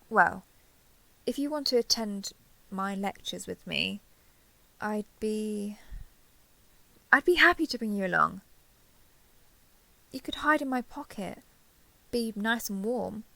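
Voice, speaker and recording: A young woman playing a caring giant dragon girl in an intimate audio roleplay, speaking to the listener in a gentle, reassuring, slightly hesitant way. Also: medium pitch, expressive, slow pace, vocal fry, long pauses, no echo, exaggerated voice, poor audio. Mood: gentle, hopeful.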